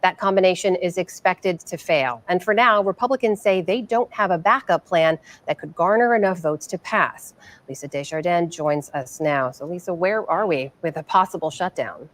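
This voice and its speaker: A woman's voice: fairly low pitch, moderate pace. A woman news anchor presenting and asking questions in broadcast style.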